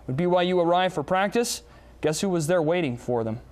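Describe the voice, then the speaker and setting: A young American man narrating high school and college sports highlights with an energetic, punchy delivery. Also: fairly quick, heavy background noise. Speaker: sports reporter intern.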